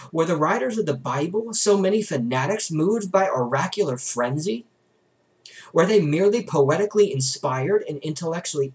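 A young adult man reads a religious book aloud in a formal, emphatic manner, recorded in a small bedroom on a desk camera. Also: fairly high pitch. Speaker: book reader.

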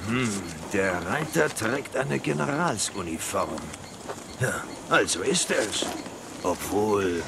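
An actor playing a brusque, self-important fort general in a German children's audio drama, with clipped military diction. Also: male voice, lowish voice, animated, slow, creaky, exaggerated voice. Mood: puzzled. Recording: noisy transfer of old audio drama.

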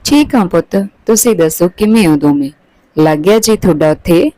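A woman's voice: lowish voice, lively intonation, moderate pace, warm tone, dry recording, lightly acted, low-quality recording. A woman voicing an older mother-in-law in a story, affectionate in manner but subtly needling. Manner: sweetly taunting, blessing.

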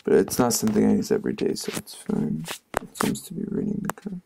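Male tech host, casual offhand tutorial delivery, gravelly and lively in pitch, slight noise. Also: fast. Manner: offhand, talking while tapping through tests. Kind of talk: casual screen-recorded phone tutorial.

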